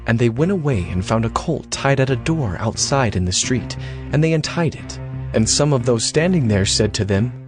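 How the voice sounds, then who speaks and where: A man's voice: mid-range voice, expressive, normal speed. A man narrating scripture from a script, as the storyteller of a dramatized reading. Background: music.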